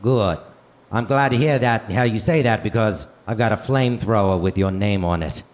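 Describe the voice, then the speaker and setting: A man playing a swaggering American president with a Boston accent and halting, uh-filled delivery in a comedic radio play. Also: lowish voice, pitched below the performer's own voice, natural voice. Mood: gung-ho. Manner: halting, peppered with drawn-out ers and uhs. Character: heroic swaggering American president, folksy and hesitant, JFK parody. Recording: noisy live theater stage recording.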